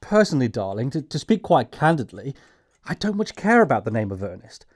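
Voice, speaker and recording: Actor as nervous English gentleman in love; very animated intonation, eager, hesitant, period stage comedy. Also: medium pitch, fairly quick. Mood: anxious. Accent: English upper-class. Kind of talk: stage comedy audiobook acting.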